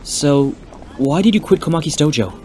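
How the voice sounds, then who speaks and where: A man's voice: a little high, expressive, normal speed, dark tone, slight background noise, lightly acted. A man playing an earnest, determined young martial arts student in an audio drama, with an emphatic way of speaking. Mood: calm.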